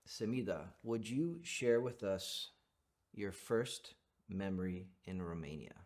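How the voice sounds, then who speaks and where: A man's voice: low voice, lively intonation, slow, a little mellow. A man hosting an interview podcast who speaks in an earnest, thankful, upbeat way. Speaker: podcast host.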